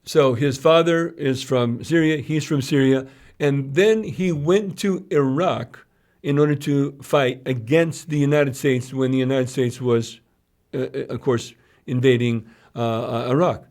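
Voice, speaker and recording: A man's voice: medium pitch, fairly even intonation, a little slow, a little mellow. A man co-hosting a podcast, giving conversational current-affairs commentary in an explanatory way, repeating phrases for emphasis. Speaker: podcast co-host.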